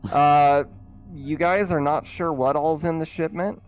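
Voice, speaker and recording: A man running a tabletop roleplaying game as game master, narrating in a conversational, halting way. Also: fairly high pitch, fairly even intonation, clear, resonant tone, rough recording. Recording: music playing behind the voice. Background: background music.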